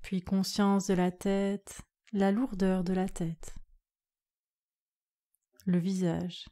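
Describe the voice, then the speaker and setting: A woman yoga nidra instructor leading a guided relaxation meditation in a calm, soothing, measured way. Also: medium pitch.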